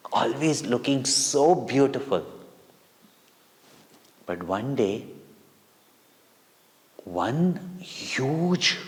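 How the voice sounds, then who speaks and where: A man with an Indian accent telling devotional stories to children in a deliberate, theatrical way with dramatic pauses and emphasis. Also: medium pitch. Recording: long echoing hall reverb.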